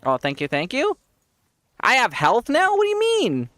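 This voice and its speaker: A man's voice: extremely high pitch, huge pitch swings, normal speed, a bit bright, no echo. A man with a congested voice, giving rambling casual commentary while he plays a game and laughs often. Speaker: streamer.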